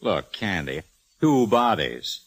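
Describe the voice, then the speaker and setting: An actor playing a gruff, wry, easily bemused male police lieutenant in 1940s radio detective drama style. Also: sits low, big pitch swings, slow pace, fairly bright tone, soft background music, heavily acted. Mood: dry, curious.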